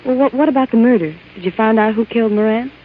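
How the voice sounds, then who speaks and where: An actress playing a loyal, anxious young fiancée, speaking earnestly and urgently in a 1950s radio drama. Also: female voice, fairly high pitch, fast talker, natural voice. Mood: surprised, concerned. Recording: tinny, hissy old radio recording.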